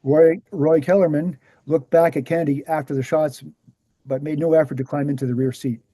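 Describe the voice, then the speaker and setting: Man acting as a mellow, dark-voiced earnest author-lecturer. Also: on the high side, steady tone, brisk, dry recording, close to an everyday voice. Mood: earnest, explanatory. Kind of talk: screenplay table read, explanatory lecture.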